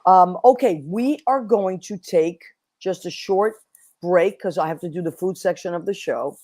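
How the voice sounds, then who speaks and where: A woman's voice: lowish voice, moderate pace, warm tone. Bubbly New woman hosting. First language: Italian. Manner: bubbly, effusive. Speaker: host. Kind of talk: casual interview chat.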